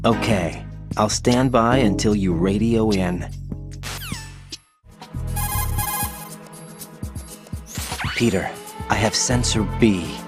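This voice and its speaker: A man's voice: on the low side, measured pace. An actor playing an earnest young male rookie special-forces operative in a video game, asking many questions. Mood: focused. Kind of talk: video game cutscene voice acting. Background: game score music.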